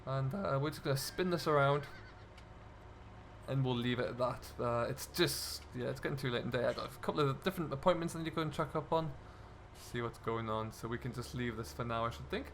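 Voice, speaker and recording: A man's voice: medium pitch, brisk, creaky, fairly bright tone, slight background noise. A man hosting a gaming video, giving casual role-play commentary as he plays a farming simulator. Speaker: host of a gaming video series.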